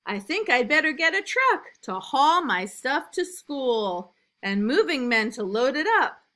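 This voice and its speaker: A woman reading a rhyming storybook as an eager, imaginative little boy, in an emphatic sing-song with drawn-out line endings. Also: high-pitched, sing-song, bright, forceful tone, voice pushed up, exaggerated voice. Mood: eager, excited. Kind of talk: rhyming storybook read aloud.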